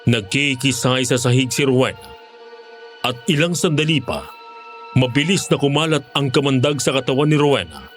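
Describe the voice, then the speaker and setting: Horror narration: male actor as former aswang hunter, mid-pitched, measured and grave, faint music underneath. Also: animated, close to an everyday voice. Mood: grim. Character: former male aswang hunter recounting his grim experience. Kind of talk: dramatic horror story narration in Tagalog.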